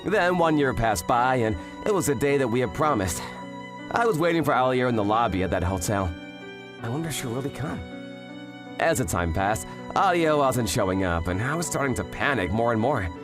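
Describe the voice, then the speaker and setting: A man's voice: medium pitch, a little fast, natural voice. A young man playing an earnest office worker in a manga dub, narrating his story and voicing his lines expressively. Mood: reflective narration. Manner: animated, expressive, swings between narration and exclamation. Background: music.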